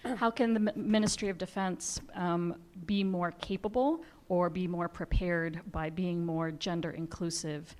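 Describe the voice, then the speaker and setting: Woman, slightly low voice, polite, articulate, audience question. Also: steady tone, a little slow, a little mellow. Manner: polite, articulate, some fillers. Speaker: audience member asking a question. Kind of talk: formal question from the floor.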